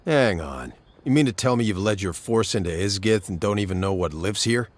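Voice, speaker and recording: A man's voice: lowish voice, animated, a little fast, natural voice. A man playing a world-weary, blunt monster-hunting mercenary in a fantasy game, laconic and matter-of-fact. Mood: blunt, sardonic. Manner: laconic, matter-of-fact, clipped colloquial phrasing. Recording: background music under the dialogue.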